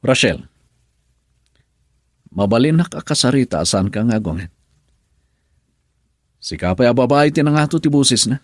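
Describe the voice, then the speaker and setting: An Ilocano actor playing a brooding, regretful husband who drinks in a radio drama, with a gravelly, husky voice. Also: male voice, mid-range voice, expressive, normal speed, pauses often, a little mellow, no room sound, clean studio sound, close to an everyday voice. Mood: irritated, defensive. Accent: Filipino, Ilocano. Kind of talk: Ilocano radio drama acting with inner monologue.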